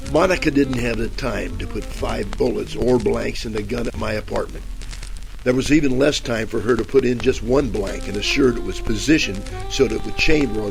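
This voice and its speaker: A man's voice: medium pitch, creaky, lightly acted, poor audio. A man acting a cocky, wisecracking private detective in old-time radio style, with wry, boastful delivery.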